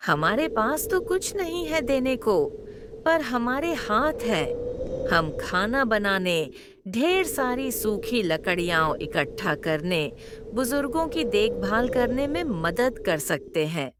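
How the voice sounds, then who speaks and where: A man voicing a poor young village woman who offers help with her hands, speaking slowly in a raised voice. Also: sits high, very animated, background noise, voice pushed up, exaggerated voice. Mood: earnest.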